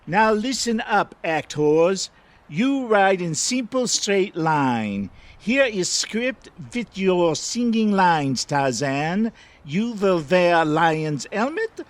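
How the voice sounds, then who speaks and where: Melodramatic, high-voiced director. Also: male voice, big pitch swings, takes it slowly, exaggerated voice. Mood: bossy, breezy. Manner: theatrical broken English sprinkled with French. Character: excitable, melodramatic foreign commercial director. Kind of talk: audio comedy drama acting.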